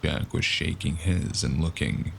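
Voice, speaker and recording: A man playing a gravelly, sardonic noir investigator. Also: very deep voice, measured pace, slight background noise, heavily acted. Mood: wry, tense narration. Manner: sardonic, wry. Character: sardonic world-weary cyberpunk investigator, a wounded man. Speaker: narrator and lead character. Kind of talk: first-person noir audio drama narration and dialogue.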